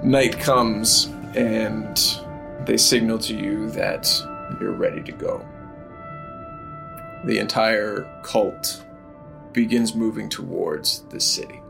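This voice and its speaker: A man narrating an improvised tabletop game as game master, pausing often mid-sentence. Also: on the low side, vocal fry, music in the background.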